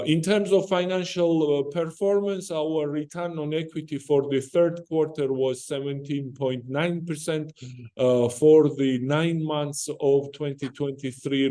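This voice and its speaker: Very mellow, measured male executive. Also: medium pitch. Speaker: company executive presenting results. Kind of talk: investor conference call presentation.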